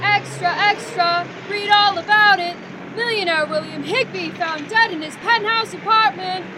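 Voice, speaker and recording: Very high-voiced young paper seller, street-crier delivery, radio play, clear traffic noise behind. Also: female voice, a little slow. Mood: urgent hawking. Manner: loud street-crier calling, clipped headlines. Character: eager young newspaper seller hawking headlines. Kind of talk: 1940s radio drama acting.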